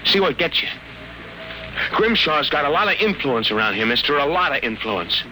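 Surly hearse driver, lively sneering voice, brash backtalk, noisy old radio recording. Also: male voice, a little high, moderate pace, heavily acted. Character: surly male hearse driver, insolent wise guy.

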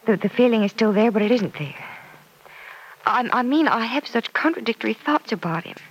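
An actress playing an earnest, self-doubting young woman in an old-time radio serial, confiding in a hesitant, soft-hearted way. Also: fairly high pitch, lively intonation, brisk, husky, poor audio. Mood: confused, confiding. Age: young adult.

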